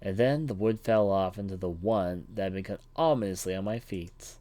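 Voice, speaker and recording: A man's voice: low voice, normal speed, warm tone. A man reading a visual novel aloud as a let's play commentator, telling it dramatically and sometimes stumbling over words. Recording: music behind the voice. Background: visual novel music.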